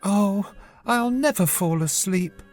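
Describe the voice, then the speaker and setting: An earnest, chatty little girl of seven, acted out by a storyteller for a bedtime tale. Her voice sits high and swings about with curiosity, over soft music. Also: lively intonation, takes it slowly. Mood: huffy, restless. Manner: inquisitive, earnest.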